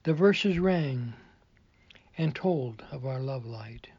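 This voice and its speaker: Husky breathy guy reading his poems real slow, muffled lofi sound, no room echo. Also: medium pitch, expressive. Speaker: poet reading own work. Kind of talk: poetry recital.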